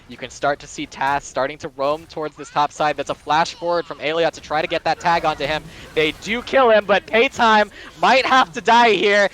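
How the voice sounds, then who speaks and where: A man's voice: a little high, fairly bright tone, smooth. A man giving live play-by-play commentary on a video game match, mixed with casual chat.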